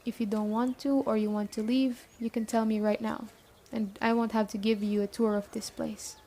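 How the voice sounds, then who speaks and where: A woman acts out a stern yet caring female leader of an apocalypse survivor camp. Her voice sits a little high but is very mellow and soft-edged. She talks to the listener directly in a brisk, matter-of-fact ASMR roleplay style. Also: little pitch movement. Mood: stern, authoritative.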